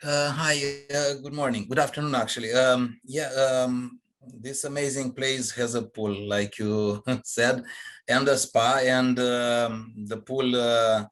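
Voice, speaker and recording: A middle-aged man from Romania, a plant operations manager speaking haltingly with many fillers on a video call from a small office. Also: mid-range voice, steady tone, fairly bright tone.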